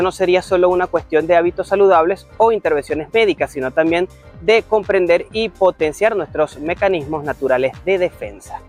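A man hosting a show, reading a science segment and introducing guests in an upbeat presenter's manner. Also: a little high. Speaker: show host.

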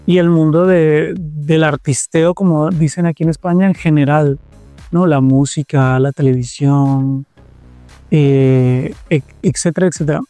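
A man's voice: a little high, fairly even intonation, a little slow, mellow, clean tone, no echo, soft background music. A man with a Colombian accent talking conversationally in an interview, repeating phrases for emphasis. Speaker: interviewee, TV presenter and producer.

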